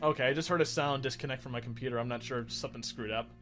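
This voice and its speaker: A man's voice: medium pitch, very fast, fairly bright tone, faint music behind. A man doing casual, rambling game commentary as a let's play host, often correcting himself as he goes.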